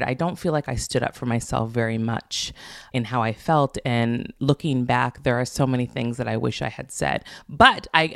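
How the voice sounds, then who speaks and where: A woman's voice: a bass voice, creaky, a bit bright, no room sound, studio quality. A woman guest in an earnest, reflective conversation, often restarting her sentences. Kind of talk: casual conversation.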